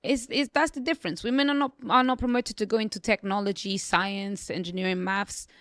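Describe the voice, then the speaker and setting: Casting: young adult woman, non-native English, self-assured podcast guest, mid pitch, dry close mic. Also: fairly quick, fairly bright tone, no room sound. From immigrant raised in the UK. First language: not English. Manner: confident, emphatic, repeats "you know what I mean". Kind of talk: conversational interview.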